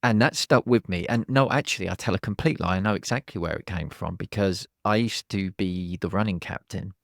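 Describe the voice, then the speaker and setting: A man hosting a podcast, chatting relaxed and sharing a personal story. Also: low voice, fairly quick, creaky, no room sound, studio quality. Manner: relaxed, conversational, tells personal anecdote. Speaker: podcast host. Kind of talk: casual chat.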